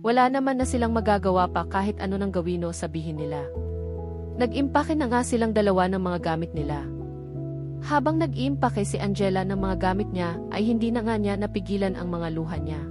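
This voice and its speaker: A Filipino-accented woman narrates a romance novel in a scripted storytelling style. Her pitch sits in the middle range for a woman. Music plays clearly behind her voice. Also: expressive, brisk. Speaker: audiobook narrator. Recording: background music under voice.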